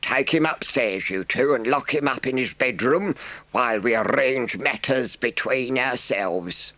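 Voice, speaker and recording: A man voicing a solemn, stern but kindly elderly badger who makes slow, pompous speeches in a storybook reading. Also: medium pitch, pushed and bright, dry recording, pitched above the performer's own voice. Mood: firm.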